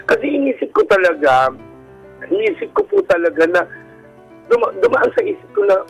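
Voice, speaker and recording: A Filipino man with a campy, animated way of talking, telling his personal story casually as a guest on a radio call-in show. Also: very high voice, normal speed, creaky, husky, low-quality recording. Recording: radio call-in over background music. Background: music.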